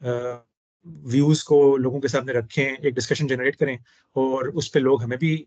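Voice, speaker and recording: A man with a hoarse throat hosting a casual discussion, speaking in a measured, formal way. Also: medium pitch, fairly even intonation, a little mellow, no echo. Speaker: host. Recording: dull, dry lo-fi audio.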